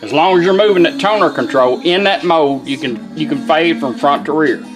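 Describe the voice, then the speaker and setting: A man's voice: fairly high pitch, fairly quick. A plain-spoken, folksy man giving a hands-on how-to demonstration. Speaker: product demonstrator. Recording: music from a radio playing behind.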